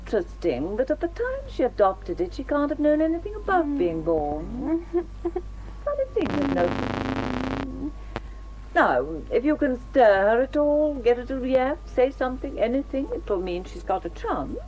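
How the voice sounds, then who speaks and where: Female doctor, British, clinical and talkative; highish voice, very animated intonation, radio drama. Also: moderate pace, low-quality recording. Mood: brisk, detached. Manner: clinical, matter-of-fact, articulate.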